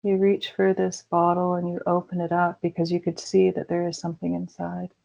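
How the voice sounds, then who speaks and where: A woman leading a guided meditation in a slow, soothing way with gentle pauses. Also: lowish voice, monotone, creaky. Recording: dull lo-fi recording.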